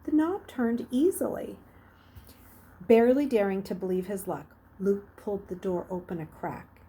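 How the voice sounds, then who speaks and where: A woman's voice: medium pitch, moderate pace, mellow. Woman reading a story aloud expressively. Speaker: teacher reading aloud to children.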